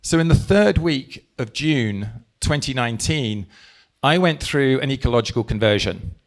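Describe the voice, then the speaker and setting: A man from England, a party leader, giving a live speech to an audience, talking forcefully with slow, stressed phrases into a handheld mic. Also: mid-range voice, expressive.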